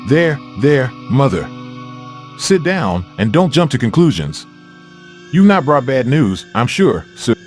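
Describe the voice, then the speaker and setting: A man's voice: medium pitch, sing-song, measured pace, soft background music. A man playing a stubborn but tender old husband and father in a dramatized audio story. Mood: soothing.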